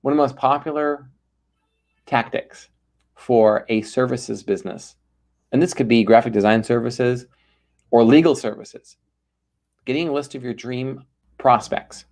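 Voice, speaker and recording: A man's voice: on the low side, darkish tone. A man speaking as a guest in a podcast interview, talking with energy and emphasis and acting out little quoted exchanges. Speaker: ghostwriter guest.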